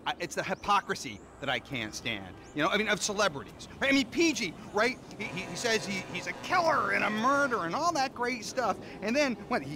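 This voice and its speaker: Traffic noise surrounds a manic, sleazy celebrity-obsessed man with an extremely high, swinging voice. He rants and stammers, jittery and excitable, in a video game cutscene. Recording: game scene, noisy traffic background.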